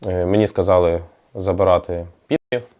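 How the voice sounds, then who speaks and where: Soldier, man, low dark voice, brisk telling. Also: fairly even intonation. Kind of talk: interview recollection.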